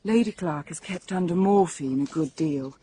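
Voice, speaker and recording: Actress as composed secretary, noisy recording. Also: female voice, fairly low pitch, normal speed, warm tone, natural voice. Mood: composed, subdued. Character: polite, composed female private secretary, helpful. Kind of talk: radio drama acting.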